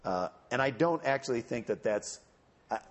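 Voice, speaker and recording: Guy on a panel breaking down economic policy, steady and explanatory, middle pitch. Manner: measured, explanatory. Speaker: panelist speaking for a campaign. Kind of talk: answering audience questions.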